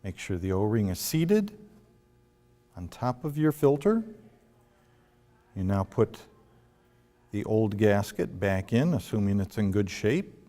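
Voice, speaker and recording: A man giving a step-by-step instructional demonstration in a deliberate, phrase-by-phrase way with firm instructions. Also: lowish voice, lively intonation, dark tone. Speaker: product demonstrator. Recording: long reverberant room echo.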